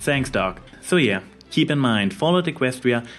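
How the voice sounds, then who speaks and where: A man's voice: medium pitch, animated, moderate pace, a bit bright. Man hosting a review over music. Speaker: host of a review channel. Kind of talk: scripted review commentary.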